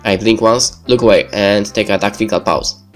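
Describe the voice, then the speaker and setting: A man's voice: lowish voice, moderate pace, soft background music. A man reading visual novel narration aloud as a let's player. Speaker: video game let's player.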